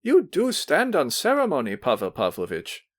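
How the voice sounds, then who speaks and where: A man's voice: a little high, huge pitch swings, moderate pace, voice pushed up. A man voicing a fussy older mother who drawls in a sing-song way. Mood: fussing.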